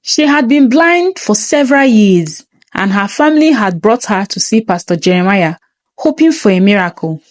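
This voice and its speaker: A woman's voice: medium pitch, animated, normal speed, no room sound. A woman reading a scripted first-person story in a dramatic, suspenseful manner.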